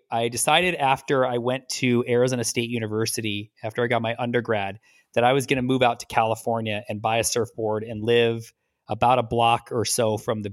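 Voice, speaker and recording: Middle-aged man from the US, mid pitch, rambling guest storyteller, dry close sound. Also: no room sound. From United States. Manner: relaxed, rambling, repeats words. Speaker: guest, lawyer. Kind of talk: casual interview storytelling.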